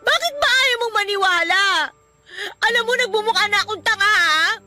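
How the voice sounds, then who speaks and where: Very high, bright, emotional Filipina young mother. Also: moderate pace, faint music behind, full character voice. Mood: tearful pleading. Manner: emotional, confrontational, pleading. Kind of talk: Filipino radio drama acting.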